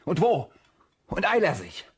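An actor playing a lovesick, commanding young officer in a German radio play, impatient and pleading. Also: male voice, huge pitch swings, a little slow, no room sound, clean studio sound, exaggerated voice. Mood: agitated. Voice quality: husky, breathy low voice.

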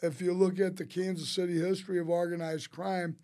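A man tells casual, rambling anecdotes as a podcast guest, quoting people in an animated way. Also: high-pitched, brisk. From St. Louis, United States. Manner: rambling, animated storyteller who quotes others. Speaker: interview guest, restaurateur. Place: small podcast studio. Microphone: close studio mic on a boom arm.

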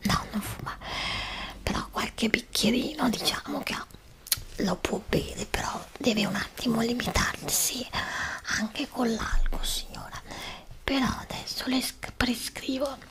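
A woman doing an ASMR medical roleplay as a doctor, speaking gently and hesitantly into a close microphone. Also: a little high, unhurried. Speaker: ASMR performer playing a doctor. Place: small indoor setup with dark backdrop. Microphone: desktop condenser microphone, close up.

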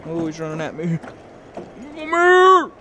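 A man's voice: high-pitched, very animated, slow pace, bright, forceful tone. Young man on a noisy action camera. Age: young adult. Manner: joking, rambling, laid-back. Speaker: fishing vlogger. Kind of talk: casual vlog chat. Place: outdoors on a river bank and boat. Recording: outdoor action-camera noise.

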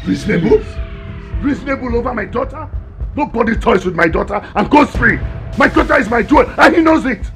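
A man's voice: sits high, expressive, moderate pace, soft background music. An actor with a Nigerian accent playing a wealthy chief who angrily orders a young man out of his house. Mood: furious. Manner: commanding, threatening. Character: furious wealthy chief, protective father. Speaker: angry chief and father. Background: film score.

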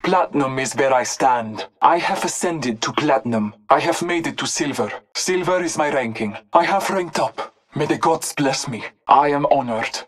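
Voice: mid-range voice, animated, normal speed, close to an everyday voice. An actor voicing a solemn, honorable hunter devoted to Norse gods in a video game, speaking in formal, ceremonious phrases. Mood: solemn, proud. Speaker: playable game character. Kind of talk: video game voice lines, short barks and proclamations.

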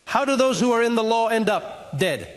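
A middle-aged man preaching a sermon on a studio stage into a handheld mic, animated and acting out dialogue, at times rising to a shout. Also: very high voice, moderate pace. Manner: animated, gesturing, acts out dialogue, rises to shouts.

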